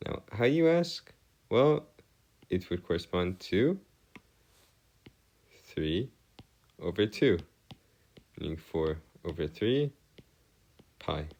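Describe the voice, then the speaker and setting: A man's voice: low voice, big pitch swings, slow pace, pauses often, darkish tone, muffled recording. A man giving an explanatory math lesson as a tutor, speaking in formal, wordy phrases and hesitantly correcting himself as he goes. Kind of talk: semi-improvised explanatory lesson.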